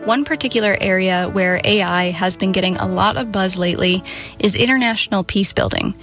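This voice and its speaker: Radio reporter lady, a little gravelly, reads her script, soft music behind. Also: mid-range voice, fairly quick. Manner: clear, reading script. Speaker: radio correspondent.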